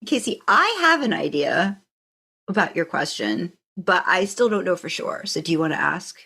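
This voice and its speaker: Woman podcast host with very animated pitch. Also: normal speed, vocal fry, husky. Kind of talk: casual conversational questioning.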